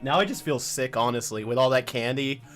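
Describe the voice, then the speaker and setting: A man's voice: mid-range voice, a little fast, a bit bright, soft background music. A man co-hosting a livestream with casual, jokey, exclamatory banter. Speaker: livestream co-host.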